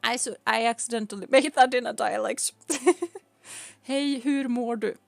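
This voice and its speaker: Chatty Swedish woman, slightly high voice. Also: a little slow, vocal fry, no echo, faint music behind. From Sweden. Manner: chatty, laughs often, explains patiently. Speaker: streamer. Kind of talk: casual live-stream chat answering viewers.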